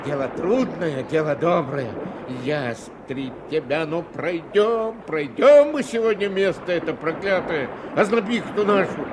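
An actor playing a rugged, resolute river log driver in a radio drama. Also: male voice, fairly high pitch, expressive, measured pace, noisy background. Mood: resolute, wary.

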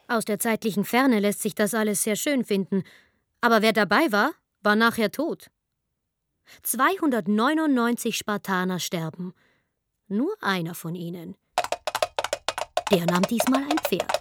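A woman's voice: mid-range voice, measured pace, pauses often. A woman narrating a radio drama in a dry, conversational, tongue-in-cheek manner.

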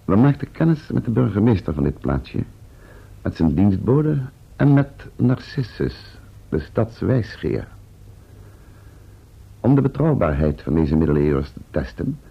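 An actor playing a rueful, wary time-travelling scientist's assistant and narrator in a Dutch radio serial, with a gravelly, husky voice. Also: male voice, sits low, big pitch swings, unhurried, exaggerated voice. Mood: measured storytelling. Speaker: narrator and professor's first assistant. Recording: slightly dull old radio recording.

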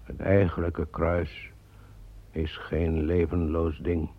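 A man in a radio play voicing a solemn man who speaks in grave, measured sentences. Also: low voice, little pitch movement, full character voice. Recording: dull old radio recording.

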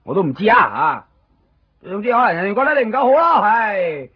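A man's voice: sits high, big pitch swings, fairly quick, husky, full character voice. A man with a Hong Kong Cantonese accent playing a nervous, apologetic, evasive friend in a radio drama. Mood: chiding. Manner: hesitant, stammering, pleading. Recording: dull, lo-fi 1990s radio recording with music.

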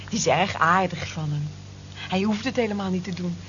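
A woman's voice: on the low side, normal speed, fairly bright tone, natural voice. An actress playing a modest, conscientious cleaning woman in a radio play, speaking in an earnest, polite way. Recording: dull, noisy old radio play recording.

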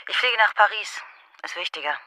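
A woman's voice: mid-range voice, lively intonation, fairly quick, sharp tone, no echo, rough recording. An actress playing a brusque, flirtatious middle-aged woman with a curt, offhand manner in German radio drama.

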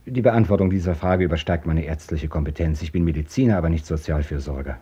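An actor playing a blunt, busy hospital doctor in a radio drama, dry and ironic but gentle with children. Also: male voice, very deep voice, quick pace, husky, exaggerated voice. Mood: dry, matter-of-fact. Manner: dry, clipped, formally ironic. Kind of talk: German radio drama acting.

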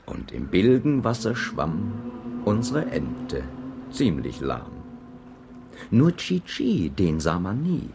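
A man's voice: fairly low pitch, wildly swooping pitch, takes it slowly, gravelly, background noise, full character voice. A man playing a wry, leisurely storyteller who narrates a children's radio play in rhyme.

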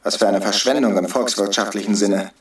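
An actor playing a bitter, taunting rebel hostage-taker who preaches and needles in a radio drama. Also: male voice, fairly low pitch, fairly even intonation, brisk, lightly acted. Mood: taunting, commanding. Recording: roomy, distant from the mic.

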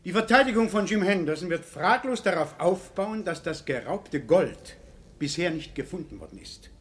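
An actor playing a formal, self-assured prosecutor, speaking deliberately and rhetorically in a 1970s radio play. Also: male voice, high voice, animated. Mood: confident, insistent. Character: formal, self-assured male public prosecutor addressing a jury.

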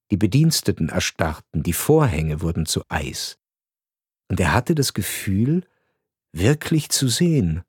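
A man reading a scripted radio narration in a grave, measured storytelling manner. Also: mid-range voice, a little mellow, husky, no room sound, clean studio sound.

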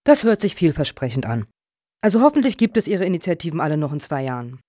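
A woman acting in a radio satire as a brisk, formal foundation juror with a businesslike, measured manner. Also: sits low, sing-song, dark tone, dry recording, clean studio sound. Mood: resigned.

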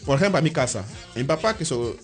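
A man from Cameroon, a radio interview guest who talks in halting restarts and keeps checking that he is understood. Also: mid-range voice, lively intonation, soft background music.

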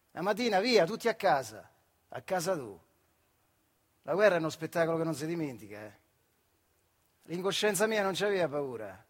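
A male actor playing a working-class factory worker telling his memories in colloquial, expressive theatrical style, with a central Italian accent from the Marche. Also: on the high side, husky. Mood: reminiscing. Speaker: stage actor performing a monologue. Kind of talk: theatrical dialect storytelling.

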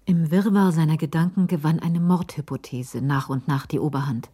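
A woman narrating a radio crime drama in a measured, literary storytelling manner. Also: deep voice, mellow, slightly rough.

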